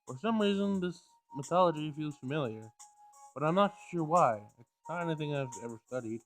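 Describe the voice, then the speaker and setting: Higher-pitched man reading game text aloud. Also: animated, brisk, soft background music. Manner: stumbles over words and rereads lines. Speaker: player reading a visual novel aloud. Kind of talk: Let's Play read-aloud of game text.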